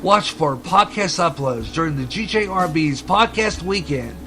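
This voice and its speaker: A man's voice: fairly high pitch, expressive, normal speed, bright, forceful tone, slight background noise. A man, a radio and podcast host, reading a scripted promotional announcement.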